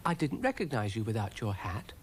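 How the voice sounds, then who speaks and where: A man's voice: on the low side, very animated, a little fast. A man playing a polite, amused young girl in a children's story, speaking in a light manner. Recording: clearly noisy recording.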